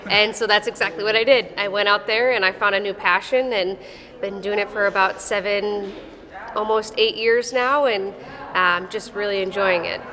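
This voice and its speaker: A woman's voice: medium pitch, fairly even intonation, moderate pace, bright, forceful tone. A woman in her thirties from Wisconsin with a Midwestern accent and a slight Texas drawl, a wrestling official answering interview questions in a friendly, matter-of-fact way. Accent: Midwestern American with a slight Texas drawl. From Wisconsin, USA. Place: wrestling tournament arena. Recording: echoey arena with crowd noise.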